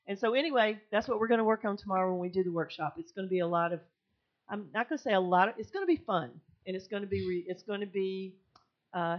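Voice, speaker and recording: A woman's voice: lowish voice, muffled recording. Chatty older woman addressing a church. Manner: friendly, chatty, with fillers and restarts. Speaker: guest speaker, ministry workshop leader. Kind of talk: sermon-style talk to a congregation. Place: church sanctuary.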